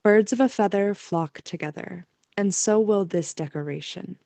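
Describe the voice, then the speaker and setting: A woman's voice: medium pitch, normal speed, vocal fry, mellow, no room sound. A woman reading scripted story narration as the narrator of an amateur audio drama.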